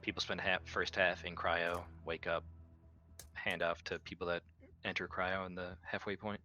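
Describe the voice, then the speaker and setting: A man with a very low but bright, forceful voice talks casually as a player in a roleplaying game. The audio is a little dull, with faint music underneath. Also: flat delivery, moderate pace. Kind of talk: casual in-character table talk.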